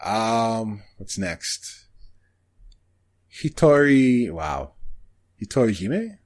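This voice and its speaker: A man co-hosting a podcast, chatting casually and thinking aloud in halting bursts about anime shows. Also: lowish voice, very animated, a little mellow. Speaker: podcast co-host.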